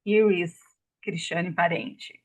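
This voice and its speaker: A woman's voice: fairly low pitch, steady tone, slow. A woman from Brazil, a native Portuguese speaker with a Brazilian Portuguese accent, giving a casual online class presentation as a guest lecturer. She speaks hesitantly and apologetically, with many fillers and restarts. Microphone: video call.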